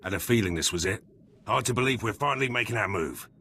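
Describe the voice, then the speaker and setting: Brash military commando, low-pitched, forceful, husky, terse, video game dialogue. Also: male voice, lively intonation, quick pace, slight background noise. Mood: gruff, eager. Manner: terse, clipped, blunt. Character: gruff, battle-hardened male military commando, loyal and brash. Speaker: soldier companion character in a video game. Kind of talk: scripted game dialogue, clipped sentences.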